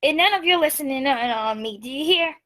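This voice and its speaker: A woman's voice: high-pitched, expressive, fairly quick, fairly bright tone. A woman playing a sly, wheedling male pirate with rustic seafarer speech in a radio play. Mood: bossy, falsely friendly.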